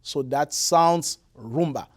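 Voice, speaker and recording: Man from the Congo, halting. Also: a little high. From Republic of the Congo. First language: Lari. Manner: hesitant, many fillers and restarts. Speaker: musician guest. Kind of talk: interview answers. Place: radio or TV studio.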